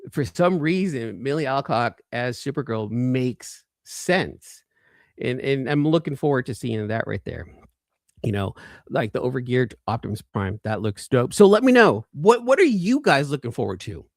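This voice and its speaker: A man's voice: medium pitch, very animated, moderate pace, dry recording, studio quality. A man hosting a casual livestream, chatting informally and enthusiastically with viewers and often repeating phrases for emphasis. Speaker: livestream host.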